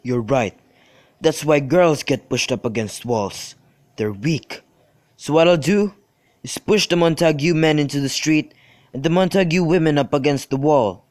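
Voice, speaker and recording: A man's voice: on the high side, measured pace, a little mellow, dry recording, clean studio sound, close to an everyday voice. A man playing a bragging, crude young servant itching for a brawl in an amateur student radio drama. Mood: boastful.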